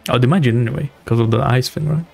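Young guy streamer doing chill slangy reactions, raspy n creaky, music playing behind him. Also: mid-range voice, very fast. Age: young adult. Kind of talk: casual live reaction commentary. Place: small home room, indoors. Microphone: close broadcast mic on boom arm. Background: music from the video being watched.

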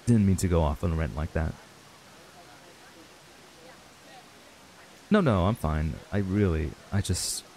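A man acting a stressed, earnest young runaway in an intimate audio roleplay, speaking haltingly with sighs. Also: low voice, mellow, slight background noise, poor audio. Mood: apologetic, subdued. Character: stressed young man, frustrated runaway reuniting with childhood friend.

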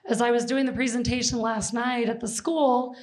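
A middle-aged woman from Seattle with a hoarse, failing voice, giving a lively history presentation and quizzing an audience. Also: a little high, normal speed. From Seattle, Washington. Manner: lively, jokes with the audience, asks questions. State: hoarse, losing her voice. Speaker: presenter and flight attendant. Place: large auditorium stage. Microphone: handheld mic.

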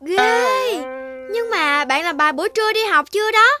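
A woman's voice: extremely high pitch, expressive, normal speed, bright, forceful tone, exaggerated voice. A woman voicing a small, earnest animal child in a children's cartoon, childlike and questioning. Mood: doubtful. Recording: faint music behind the voice.

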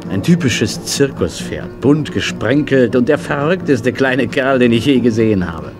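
An actor playing a jovial, soft-hearted country veterinarian in a children's radio play, in standard German. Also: male voice, medium pitch, expressive, unhurried, music in the background, natural voice. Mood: earnest storytelling.

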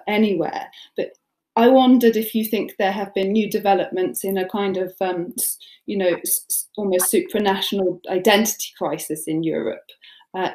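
A woman's voice: medium pitch, darkish tone. A middle-aged woman moderating a panel over a video call, thoughtful and hesitant with many restarts. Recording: echoey room on video call.

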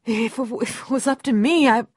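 An actress plays a kindly woman guarding the gate of a survivor camp in a video game. Her voice sits on the high side and sounds very dry. She speaks in a folksy, motherly, hesitant way, as someone apologetic by nature. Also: moderate pace, no room sound, natural voice. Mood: apologetic, gentle. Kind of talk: dramatic video game dialogue.

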